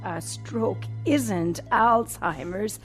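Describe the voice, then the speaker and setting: An actress playing a genteel, condescending old woman recovering from a stroke in an audio drama, with breathy, halting, deliberate speech. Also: mid-range voice, soft background music. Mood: quietly menacing.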